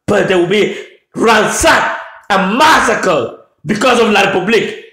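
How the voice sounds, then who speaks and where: Man from anglophone cameroon yelling a political speech, high voice, roomy echo. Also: lively intonation, measured pace. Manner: shouting, repetitive declamatory oratory. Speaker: political activist making a public address. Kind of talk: fiery political address. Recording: echoey room.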